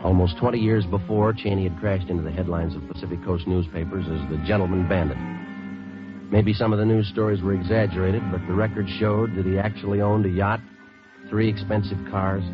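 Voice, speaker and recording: Actor as a fast, low-voiced deadpan police detective. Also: male voice, lightly acted. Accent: American. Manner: terse, deadpan, matter-of-fact. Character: terse, businesslike male police detective sergeant narrating his case. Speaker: lead actor and narrator. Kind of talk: 1950s radio police drama acting, clipped scripted narration. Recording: muffled old radio recording, music behind.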